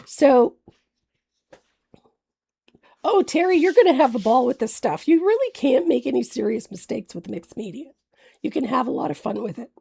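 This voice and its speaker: A hoarse woman with a gravelly voice, chatting with viewers by name while demonstrating mixed-media painting on a live stream. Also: high-pitched, animated, normal speed, long pauses. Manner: chatty, greets viewers by name, warm fillers. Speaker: craft painting instructor.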